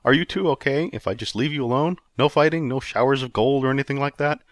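A man's voice: mid-range voice, quick pace, dry recording, pitched above the performer's own voice, natural voice. A man reading the part of an impatient, sarcastic young man who wants to get ready for a date. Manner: dry, impatient.

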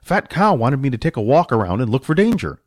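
A man's voice: medium pitch, sing-song, quick pace, no room sound, clean studio sound. A man playing a big, naive, good-natured young barbarian in an audiobook, speaking earnestly and simply. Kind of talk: audiobook character voice.

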